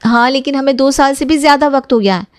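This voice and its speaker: A woman's voice: high voice, quick pace, no echo, studio quality, pitched above the performer's own voice, close to an everyday voice. A woman voicing a pleading, lovelorn young woman in her twenties in a cartoon family story. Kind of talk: cartoon moral-story dialogue.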